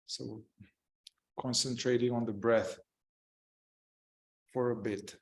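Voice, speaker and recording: Slow, even-toned male meditation guide. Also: medium pitch, creaky. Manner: gentle, unhurried, halting short phrases with long pauses. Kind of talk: guided meditation, improvised spoken instruction.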